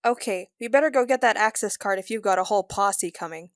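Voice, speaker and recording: A woman's voice: medium pitch, fast, dry recording, clean studio sound, lightly acted. A woman playing a casual, teasing young space adventurer in an audio drama, informal and inquisitive in her delivery. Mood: curious, teasing.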